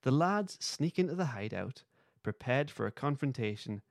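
Male podcast host, mid-pitched, playful narrator of a comic script, very dry close recording. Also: expressive, moderate pace, vocal fry, no echo. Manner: playful, breaks off to comment. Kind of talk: reading a comic script aloud.

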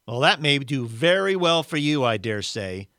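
A man voicing an indignant working-class ghost from a story, blustering and argumentative in rough colloquial grammar. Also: medium pitch, sing-song, moderate pace, sharp tone, dry recording, studio quality, pitched above the performer's own voice. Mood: scornful, stubborn. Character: indignant, aggrieved working-class male ghost demanding his rights.

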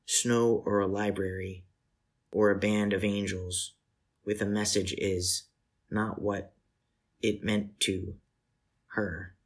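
A man in his forties reading scripted literary text and poetry in measured, deliberate phrases with pauses. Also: fairly low pitch, little pitch movement. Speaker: poet reading selected poems.

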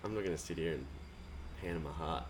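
Laid-back young Australian man, low voice. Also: fast, a little hiss or hum. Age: young adult. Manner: laid-back, rambling, swears a lot, frequent fillers. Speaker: guest musician. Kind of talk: casual podcast chat.

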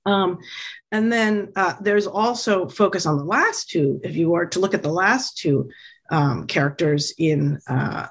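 A woman's voice: lowish voice, measured pace, breathy edge. A woman lecturing on a history topic while pointing at slides, talking with many fillers and false starts. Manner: hesitant, many fillers and restarts.

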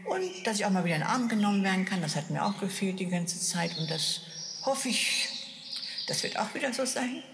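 An elderly woman in her eighties speaks slowly and reflectively about what she hopes for. Her voice sits at a middling pitch. It carries a long reverb and a faint hiss underneath. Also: very animated. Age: 80s. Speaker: interviewee. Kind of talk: personal statement.

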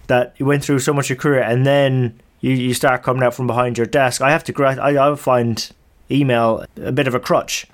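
A man speaks as a podcast host at a mid-range pitch. He asks his questions in a reflective, hesitant way, circling back over his phrasing. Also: little pitch movement, brisk. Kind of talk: interview questions.

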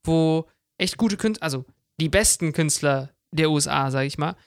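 A man's voice: on the high side, normal speed, no echo, studio quality. A man co-hosting a podcast in casual, enthusiastic chat, mixing English words into his informal speech. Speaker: podcast co-host.

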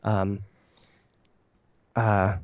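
Hesitant low-voiced man, gravelly and mellow. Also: little pitch movement, very slow, no room sound, highs cut off. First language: Chinese. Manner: hesitant, many fillers and restarts. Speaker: poet guest. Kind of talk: reflective interview answers.

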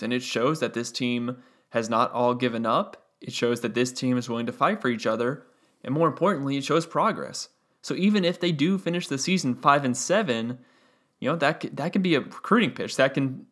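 A young man with a slightly gravelly voice hosts a solo sports podcast. He talks the game over in a loose, analytical way, thinking out loud and dropping in fillers as he goes. His pitch sits right in the middle range. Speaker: podcast host.

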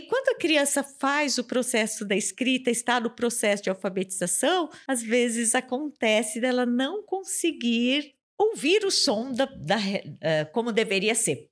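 A woman's voice: fairly high pitch, fairly bright tone. A woman with a Brazilian Portuguese accent, a speech therapist guest on a podcast, explaining things patiently and repeating key terms. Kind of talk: podcast interview answers.

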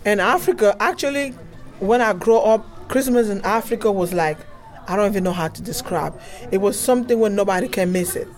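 A woman's voice: mid-range voice, fairly quick, a little mellow, faint music behind. A woman from Cameroon, president of a community association, speaking plainly in a casual interview.

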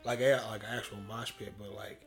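Deep-voiced young rapper, laid-back and slangy. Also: male voice, steady tone, brisk, creaky, fairly bright tone, faint music behind. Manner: laid-back slangy talk, laughs often, swears freely. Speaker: rapper guest. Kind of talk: casual interview chat.

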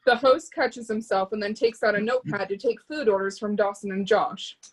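A woman's voice: mid-range voice, steady tone, a little fast, poor audio. A woman narrating stage directions from a script at a screenplay reading.

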